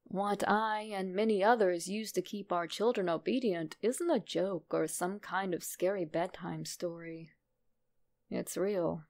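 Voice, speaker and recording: A woman narrating a horror story in a measured, deliberate, dramatic way. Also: on the low side.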